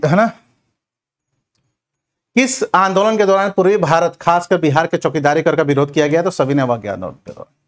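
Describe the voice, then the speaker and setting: A man teaching an exam revision class in question-and-answer form, addressing students directly with repeated check-in tags. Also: on the high side. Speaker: history teacher for competitive exams.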